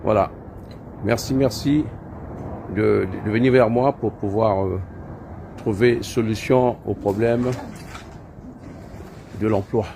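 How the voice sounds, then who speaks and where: A man's voice: on the low side, steady tone, takes it slowly, gravelly, pauses often, dark tone. A man, an IT trainer, giving an informal promotional address in hesitant, repetitive phrases. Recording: faint background noise.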